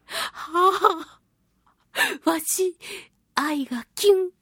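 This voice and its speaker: A woman's voice: very high voice, drawn-out words, a bit bright, dry recording, studio quality. A woman hosting a solo radio show, reading listener mail in a chatty, giggly, playfully gushing way. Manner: playful, gushing, with exaggerated pleading; chatty, giggly, reacting aloud to what she reads.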